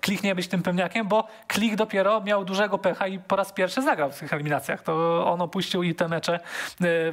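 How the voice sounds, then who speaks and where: A man's voice: high voice, fairly bright tone. A man in his thirties talking football as a studio pundit on a headset mic, thinking aloud with many fillers and repeated words. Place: sports TV studio.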